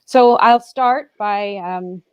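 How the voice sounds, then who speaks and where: A woman's voice: medium pitch, slow, smooth, muffled recording. A woman raised between Bangladesh and the US, speaking earnestly on a video call as a guest and recounting her childhood with frequent fillers and restarts. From Bangladesh, raised partly in the US. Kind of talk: reflective personal storytelling.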